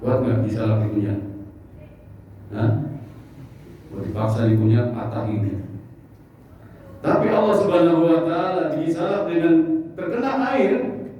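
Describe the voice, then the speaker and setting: A man's voice: fairly high pitch, normal speed, pauses often, a little hiss or hum. A man preaching a joking, conversational mosque sermon and asking his listeners questions. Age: 50s. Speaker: Islamic preacher. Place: large mosque hall. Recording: echoey, distant, dull mosque recording.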